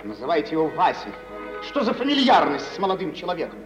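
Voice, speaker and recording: Jealous, pompous bridegroom: high, excitable swooping voice, stiff and fussy, vintage radio drama. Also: male voice, fast talker, soft background music. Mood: jealous, nagging. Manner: stiff, self-important, fussy. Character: vain, jealous, touchy bridegroom of modest standing. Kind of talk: 1950s radio theatre acting. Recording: muffled old radio recording, echoey hall.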